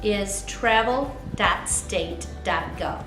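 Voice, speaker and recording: A woman's voice: mid-range voice, drawn-out words, reverberant, over music, poor audio. A woman co-hosting a casual advice show, explaining travel preparation step by step and quizzing her co-host. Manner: explanatory, asks co-host quiz questions.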